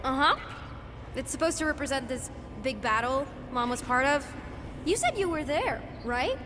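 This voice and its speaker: A woman's voice: high-pitched, lively intonation, moderate pace, in a large hall, noisy background. An actress playing a sarcastic, secretive young teenage girl in a video game, with an American accent and a casual, sardonic manner. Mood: curious, probing. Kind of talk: naturalistic video game voice acting.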